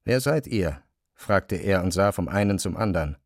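Low-voiced man narrating, close and dry. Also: expressive, moderate pace, creaky, dry recording. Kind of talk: literary scripted narration.

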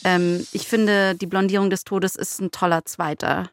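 A woman's voice: mid-range voice, fairly even intonation, normal speed. A woman in casual discussion as a podcast co-host, candid and self-ironic. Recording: music behind the talk. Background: music.

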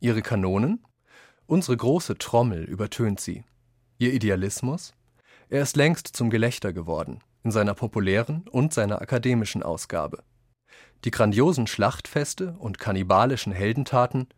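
Lower-voiced man reading quotations, dry studio. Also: expressive, moderate pace, no echo. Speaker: reader of quotations in a radio essay. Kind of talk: scripted literary reading.

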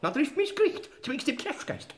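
An actor playing a pleading, sing-song Bavarian death figure in a radio play, with a Bavarian accent. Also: male voice, very high voice, huge pitch swings, brisk, heavily acted.

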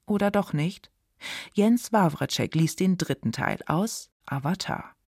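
A woman's voice: fairly low pitch, lively intonation, unhurried, a little mellow, husky, dry recording, studio quality. A woman radio presenter giving a scripted, dramatic introduction to a literary reading.

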